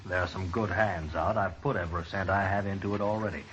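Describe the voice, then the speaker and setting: A low-voiced frontier gambler, urgent, insistent and formal in manner. It is 1950s radio western acting, dull-sounding with faint noise. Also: male voice, steady tone, fast, fairly bright tone. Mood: urgent, secretive. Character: smooth-talking, insistent, desperate frontier poker gambler. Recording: dull old radio broadcast, faint hiss.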